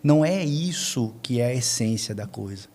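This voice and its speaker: A man with a Brazilian accent, a podcast guest explaining conversationally, haltingly searching for words and repeating himself. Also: medium pitch, lively intonation, dark tone. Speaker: podcast guest, media team member.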